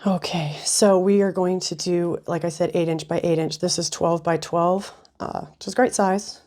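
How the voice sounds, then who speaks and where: Woman, craft tutorial host, slightly raspy with a cold, mellow, chatty, frequent ums. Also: lowish voice, steady tone, normal speed.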